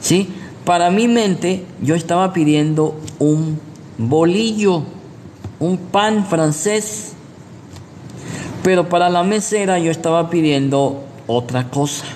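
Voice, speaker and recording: A man's voice: a little high, slow, a little mellow. A man with a Guatemalan accent preaching in a didactic way, repeating his points and checking in with short rhetorical questions. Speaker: pastor, preacher. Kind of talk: sermon, teaching with personal anecdotes. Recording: echoey hall with long reverb, background noise.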